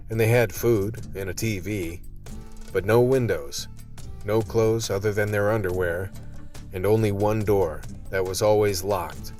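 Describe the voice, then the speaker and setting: An actor playing a wry vice team member, narrating a radio play colloquially. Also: male voice, on the low side, natural voice. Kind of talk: scripted in-character narration.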